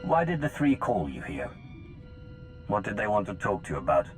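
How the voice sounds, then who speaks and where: An actor playing a proud, impatient man of high status in a video game, with a haughty, demanding delivery. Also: sing-song, normal speed, music in the background. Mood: questioning. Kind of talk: video game cutscene dialogue.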